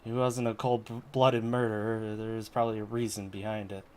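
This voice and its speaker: A man's voice: on the low side, level tone, moderate pace, a little mellow, slight background noise. A man giving casual commentary on a game playthrough, muttering as he thinks aloud. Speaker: game streamer.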